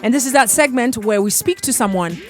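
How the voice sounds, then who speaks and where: A woman's voice: fairly high pitch, expressive, brisk, faint music behind. A young woman with a Kenyan accent hosting a morning radio talk show, chatting and mixing English with Swahili slang. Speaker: radio host.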